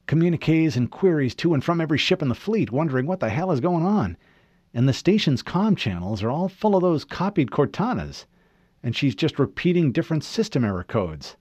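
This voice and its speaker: A man voicing a brisk, alert young soldier who gives quick, clipped reports, in an audiobook. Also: fairly high pitch, very animated, darkish tone, husky, no echo, voice pushed up. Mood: urgent.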